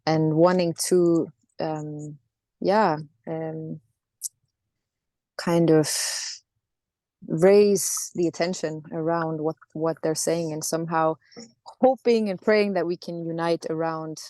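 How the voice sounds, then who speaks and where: Soft-spoken woman, thoughtful. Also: sits low, steady tone, dry recording, poor audio. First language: Norwegian. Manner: thoughtful, halting, with many restarts and fillers. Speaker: singer, interview guest. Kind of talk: reflective interview answers.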